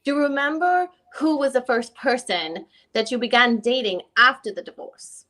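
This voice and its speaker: A high-pitched female lawyer, voiced by a woman works through a deposition reading. She puts probing questions in a formal, somewhat halting way. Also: a bit bright, lightly acted. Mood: questioning. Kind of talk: dramatic reading of a deposition transcript.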